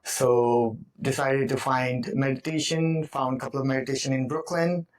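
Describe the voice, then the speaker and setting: A man who speaks English as a second language, telling his life story slowly on a podcast with many pauses. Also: medium pitch, fairly even intonation, rough recording. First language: not English. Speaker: podcast guest. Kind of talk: casual personal storytelling. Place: home studio, indoors.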